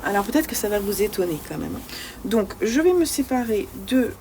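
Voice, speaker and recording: A woman's voice: mid-range voice, very animated, moderate pace, mellow, a little hiss or hum. A woman vlogging casually about the books she has read, talking freely and often searching for her words with fillers and restarts. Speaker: book vlogger. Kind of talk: casual book review chat.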